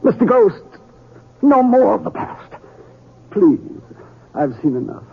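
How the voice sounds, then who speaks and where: Old miserly rancher for radio Western: creaky, anxious, halting, tinny broadcast. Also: male voice, high-pitched, very animated, heavily acted. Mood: distressed pleading. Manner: halting, pleading, stammering. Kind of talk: old-time radio drama acting. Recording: tinny vintage radio, faint music.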